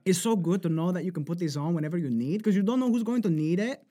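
A man with a New Jersey accent, talking as an animated voice actor guest who laughs often and slips into accent demos. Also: sits high, quick pace. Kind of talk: casual interview chat.

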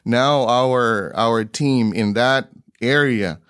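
A man speaks at a slow pace with a middle pitch, as a guest sharing an account. He halts often and repeats words while searching, in a dry, echo-free recording. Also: a bit bright, smooth. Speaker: guest, mission broadcaster. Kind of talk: conversational anecdote.

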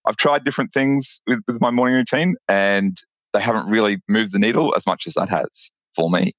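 A man's voice: lowish voice, fairly quick, dry recording. An Australian man, a lawyer and business owner, talking as a podcast guest with enthusiasm, often restarting and repeating words. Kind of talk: casual interview chat.

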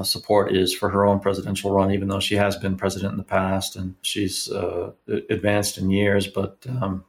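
A man giving political analysis in conversation, speaking in a measured, halting way with formal vocabulary. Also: lowish voice. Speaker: political analyst, interview guest.

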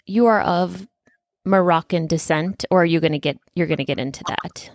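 A woman hosting an interview podcast, asking gentle, empathetic questions. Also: lowish voice, moderate pace, no echo, clean studio sound. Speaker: podcast host.

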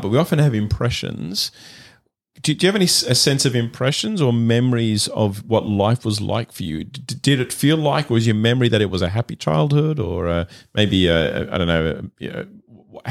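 A man's voice: on the low side, animated, a little fast, vocal fry. Hesitant man hosting a church podcast. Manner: hesitant, restarts questions. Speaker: podcast host.

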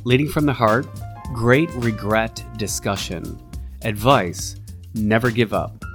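A man's voice: on the low side, slow, faint music behind. A man hosting an interview podcast over a remote call, bantering with self-deprecating jokes. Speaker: podcast host.

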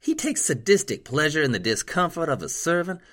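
A man voicing a sharp-tongued young female nurse with a dry, sarcastic edge. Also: fairly high pitch, sing-song, fairly quick, voice pushed up.

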